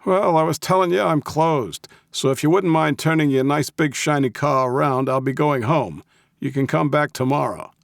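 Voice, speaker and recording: A man's voice: on the high side, normal speed, vocal fry, no echo, clean studio sound, voice pushed up. A man voicing a crabby, tired old man who blusters and protests in an audiobook story. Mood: grudging, nervous. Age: older.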